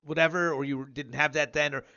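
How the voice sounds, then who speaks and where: Higher-pitched man around fifty, muffled lo-fi sound. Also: steady tone, a little fast, vocal fry, sharp tone, clear, resonant tone. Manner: rambling, repetitive, emphatic. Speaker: show host interviewing a guest. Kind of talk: casual livestream interview about competitive gaming.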